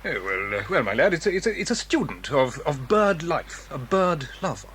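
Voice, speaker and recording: Flustered, hesitant British gentleman bird-watcher, animated and bright-voiced, children's audio drama. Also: on the high side, moderate pace, soft background music. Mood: flustered, chatty. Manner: stammering, hesitant, prim. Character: flustered, fussy male bird-lover stranger, stammering and easily alarmed. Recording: faintly noisy old cassette recording.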